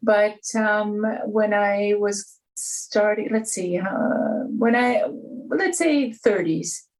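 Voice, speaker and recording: Woman guest, Canadian accent softened by Europe, slow, hesitant, slightly off-mic. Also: mid-range voice, far from the mic, low-quality recording. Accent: Canadian English flattened by life in Switzerland. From Ontario, Canada. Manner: slow, thoughtful, hesitant with fillers. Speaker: podcast guest.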